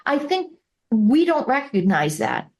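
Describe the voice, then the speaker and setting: A woman's voice: high-pitched, sing-song, a little slow, darkish tone. A woman hosting a podcast interview, asking interested, prompting questions. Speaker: host.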